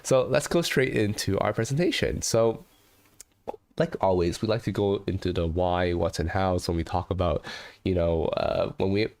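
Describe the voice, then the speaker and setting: A man's voice: deep voice, moderate pace, a little mellow, a little hiss or hum. A man in his thirties, congested from a cold, chatting casually as host of a livestream, full of "you know" and "right?", from a living room with a webcam. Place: living room with a red sofa, indoors.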